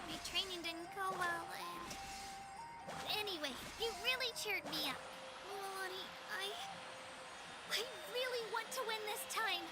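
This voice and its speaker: A woman's voice: very high-pitched, a little slow. A woman voicing an earnest young warrior girl in an anime-style video game, anxious but determined. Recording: game music behind the voice.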